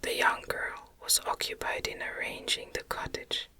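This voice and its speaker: A woman reading a gothic novel aloud as ASMR, in a gentle, breathy, unvoiced whisper with careful, unhurried phrasing. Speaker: audiobook narrator, ASMR reader.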